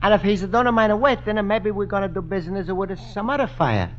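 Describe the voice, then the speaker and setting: A man's voice: high-pitched, expressive, brisk, crowd noise. An actor playing a naive, good-hearted Italian immigrant man with earnest broken English and a thick Italian accent, in a radio comedy. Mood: polite, hesitant. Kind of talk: 1950s radio situation comedy acting. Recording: dull, noisy old radio broadcast.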